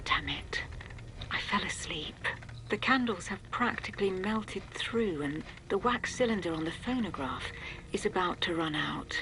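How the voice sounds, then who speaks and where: A woman's voice: on the low side, expressive, a little slow, a bit bright, a little hiss or hum, poor audio. A woman playing an earnest, uneasy child therapist in a video game. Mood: shaken, hushed.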